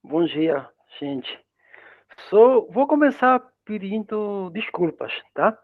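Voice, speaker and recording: A man's voice: sits high, very animated, slow pace, no echo. A man whose first language is Spanish, lecturing in Portuguese with a part Brazilian accent in an informal way, often adding tag questions and restarting words, heard over a video call. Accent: Spanish-accented Portuguese, partly Brazilian. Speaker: guest lecturer. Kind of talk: academic web conference talk. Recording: dry tinny video call audio.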